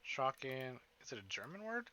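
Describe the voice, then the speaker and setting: A man streaming a visual novel, expressive and informal as he chats and reads aloud. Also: mid-range voice, moderate pace, slight background noise. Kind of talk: casual livestream chat and reading visual novel narration aloud. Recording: slightly dull livestream audio. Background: game music.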